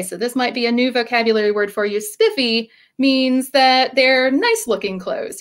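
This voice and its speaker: A woman presenting and reading a picture book aloud to children, speaking expressively and addressing her listeners directly with questions. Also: a little high.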